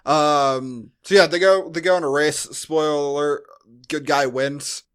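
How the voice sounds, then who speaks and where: A man with a voice a little on the high side hosts a podcast. He recaps and reviews in a loose, conversational style, as if chatting with a friend. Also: steady tone, unhurried, a bit bright, smooth. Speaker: podcast host. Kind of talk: casual movie-review chat.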